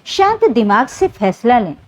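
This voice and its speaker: A woman narrator voicing a steady, righteous male police inspector in a story, firm in manner. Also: a little high, unhurried. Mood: firm, reassuring. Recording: dull, lo-fi sound.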